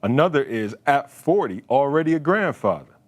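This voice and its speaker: A man in his late thirties from Philadelphia, a poet telling his story in a deliberate, dramatic spoken-word performance. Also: mid-range voice, sing-song. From Philadelphia, United States. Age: late 30s. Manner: dramatic, deliberate, emphatic phrasing.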